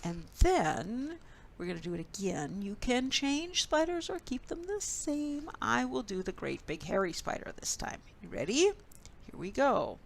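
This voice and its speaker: Female storytime reader for small kids, unhurried pace with a creaky edge, clear instructions and dramatic storybook phrasing. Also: on the high side. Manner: simple, instructive phrasing addressed to small children. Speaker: children's librarian leading storytime. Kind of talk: storytime for young children, with rhymes, songs and read-aloud. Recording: steady background noise.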